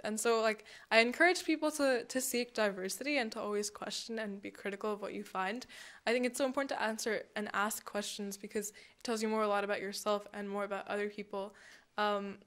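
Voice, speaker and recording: A woman answering interview questions conversationally, earnest and searching for her words. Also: medium pitch.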